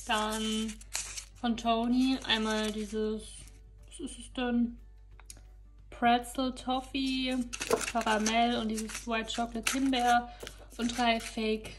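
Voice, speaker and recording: A young woman in her twenties, a food vlogger chatting casually and relaxed at home. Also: fairly high pitch. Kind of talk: casual vlog chat. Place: home rooms and kitchen, indoors. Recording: echoey room with background noise.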